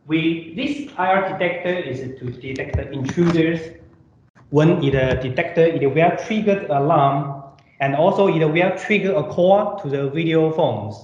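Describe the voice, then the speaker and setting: A man giving a live product demonstration in halting, simple non-native English, often repeating himself. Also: a little high, a little mellow. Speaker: product presenter. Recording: roomy echo, dull sound, faint noise.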